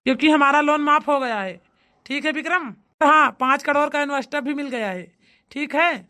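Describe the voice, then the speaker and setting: Performer doing an anxious cartoon businessman, high voice, sharp bright tone, pleading, emphatic. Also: moderate pace. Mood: brisk. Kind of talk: cartoon comedy dialogue.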